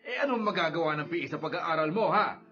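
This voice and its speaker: Casting: demanding Filipino dad, nagging, high sharp voice with big swoops, radio drama over music. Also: male voice, lively intonation, fast talker, muffled recording. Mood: stern, exasperated. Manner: nagging, emphatic. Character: stern, demanding middle-aged father scolding his son.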